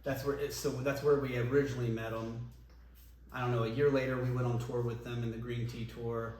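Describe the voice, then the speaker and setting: A man, a former band singer, reminiscing casually in an interview, relaxed and rambling with many ums and restarts. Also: medium pitch, brisk. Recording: echoey room, voice from a distance, faint noise.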